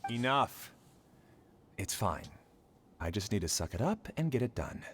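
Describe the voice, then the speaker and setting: A man's voice: on the low side, very animated, a little slow, noisy background. A man playing a resigned, overworked young graduate student in a video game, speaking in a placating, weary way. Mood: weary, insistent.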